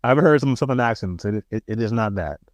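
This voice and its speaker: A man with a New York accent, co-hosting a podcast in casual chat, soft-spoken and halting, often restarting his sentences with a self-deprecating air. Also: medium pitch, animated, no echo. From Queens, New York. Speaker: podcast co-host.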